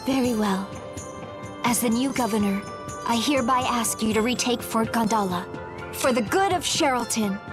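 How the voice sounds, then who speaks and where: Woman playing an earnest high-voiced teenage girl. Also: normal speed, natural voice. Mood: earnest, resolute. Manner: expressive, eager, youthful. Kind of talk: English game dub acting. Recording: game soundtrack music behind the voice. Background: background music.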